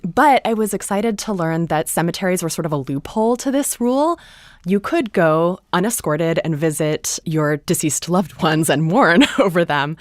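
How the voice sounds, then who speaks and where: A woman's voice: on the low side, expressive, normal speed, dry recording, studio quality. A woman playwright explaining her work thoughtfully in a panel discussion. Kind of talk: panel discussion about a play.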